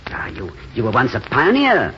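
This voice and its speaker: A courteous, idealistic wandering intellectual in an old radio play. He is eloquent and genteel, with an expressive, wide-ranging pitch. The broadcast sounds dull with faint noise. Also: normal speed. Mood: impassioned. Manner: eloquent, genteel, hesitant openings. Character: courteous, wistful, idealistic drifting intellectual man. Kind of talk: 1940s radio acting. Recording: dull, faintly noisy 1940s radio recording.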